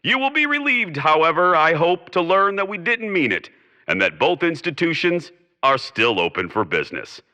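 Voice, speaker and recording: A man's voice: on the high side, very animated, normal speed, pushed and bright, big-room sound. A man hosting a radio show, delivering a scripted sign-off in old-time radio drama style, theatrical and mock-solemn.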